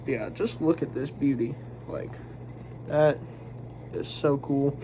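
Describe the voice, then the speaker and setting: A stuffed-up, nasal man talks through a casual review from a room at home. He rambles and restarts, and his voice is mellow and soft-edged. A faint background noise sits under him. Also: mid-range voice, slow, rough recording. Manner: rambling, hesitant, often restarting. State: congested, nasal. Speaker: manga reviewer. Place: home room with a squeaky office chair.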